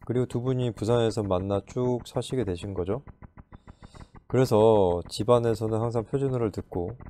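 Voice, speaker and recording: A man reading a storybook, voicing a weary young man who talks quietly and hesitantly. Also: on the low side, moderate pace, a little hiss or hum, lightly acted. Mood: reflective.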